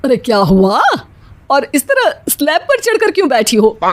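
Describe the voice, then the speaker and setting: High-pitched bossy mother-in-law, acted by a woman. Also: sing-song, normal speed. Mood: surprised. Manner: scolding, exclamatory, sarcastic. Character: bossy, sarcastic, easily scared Indian mother-in-law. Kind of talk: Hindi cartoon story voice acting.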